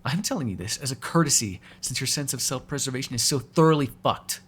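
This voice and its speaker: A man's voice: mid-range voice, lively intonation, fast talker, fairly bright tone, breathy edge. A man voicing a hungry, cranky, flirtatious male vampire in an ASMR audio roleplay, sarcastic and given to swearing. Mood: exasperated. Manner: sarcastic, swearing, moody, shifting from murmurs to exasperated outbursts.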